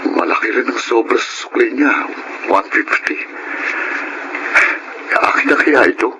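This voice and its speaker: A man's voice: medium pitch, expressive, a little slow, noisy background. A man acting a polite, humble tricycle driver and caring elder brother in a Filipino radio drama. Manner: respectful, earnest.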